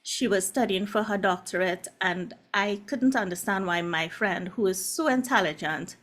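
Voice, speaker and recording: A woman's voice: mid-range voice, normal speed, fairly bright tone. A woman in her forties with a Trinidadian accent, a love coach telling her story in an earnest, open way on a video call. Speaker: guest love coach and hypnotherapist. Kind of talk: casual video-call presentation.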